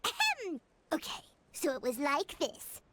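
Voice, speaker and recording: A woman voicing a small, chirpy, boastful fairy companion with a squeaky childlike voice in an anime-style game. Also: wildly swooping pitch, measured pace, pushed and bright, slight background noise, heavily acted. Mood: excited, boastful.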